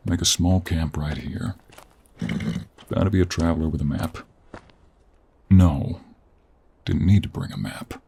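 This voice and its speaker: A man playing a gruff, teasing, weary 49-year-old cowboy monster hunter in an intimate audio roleplay, with a husky Western American drawl. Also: a bass voice, warm tone, heavily acted. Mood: frustrated, weary. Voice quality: gravelly, husky. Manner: slow, drawling, with heavy sighs and pauses. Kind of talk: intimate audio roleplay acting, addressed to the listener.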